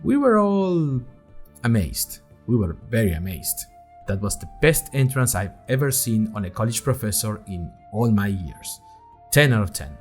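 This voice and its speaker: A man's voice: on the low side, sing-song, moderate pace, faint music behind. A man playing a chatty, nervous young college student who narrates his story in a wry, conversational way with dramatic emphasis. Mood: chatty, animated. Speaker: narrator of an audio fiction podcast. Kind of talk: scripted first-person storytelling, diary-like.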